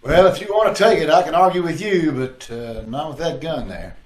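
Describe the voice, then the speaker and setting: A man's voice: fairly high pitch, brisk, darkish tone, natural voice. Man acting cocky 1940s radio sleuth, roomy echo. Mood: coolly bantering. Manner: wisecracking, slangy. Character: Blackie, cocky, quick-witted male amateur sleuth. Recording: roomy, echoing video-call audio.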